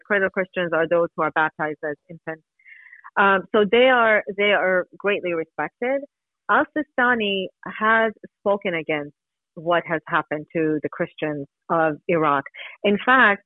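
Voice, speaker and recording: A woman's voice: on the low side, no echo. Over a narrow, dry telephone line, a woman from Iran gives an earnest interview. She speaks with emphasis, sometimes posing questions and answering them herself. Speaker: interview guest, human rights advocate. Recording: thin telephone-like radio line.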